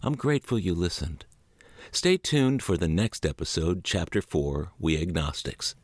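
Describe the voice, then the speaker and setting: A mature man with a deep, low-pitched voice reads as an audiobook narrator. His phrasing is measured and deliberate. The sound is dry, with no room echo. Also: expressive. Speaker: audiobook narrator and podcast host.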